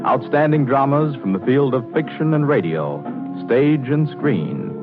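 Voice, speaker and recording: Male 1940s radio announcer, loud music. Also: mid-range voice, expressive, measured pace. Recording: tinny 1940s radio broadcast. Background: loud orchestral music.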